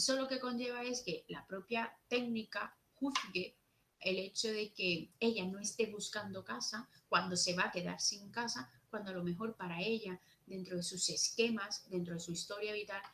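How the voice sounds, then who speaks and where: Young woman, Jerez Andalusian accent, mid-pitched, earnest seminar speaker who leans on key words. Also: fairly bright tone, slight background noise, poor audio. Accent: Andalusian Spanish, from Jerez. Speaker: speaker at a university social work seminar. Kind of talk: conference talk, partly read.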